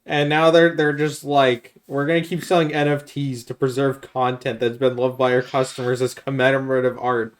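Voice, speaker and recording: A man's voice: mid-range voice, level tone, moderate pace, hardly any pauses. Casual, opinionated man podcasting. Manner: informal, opinionated. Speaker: podcast co-host. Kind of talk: casual chat about video games.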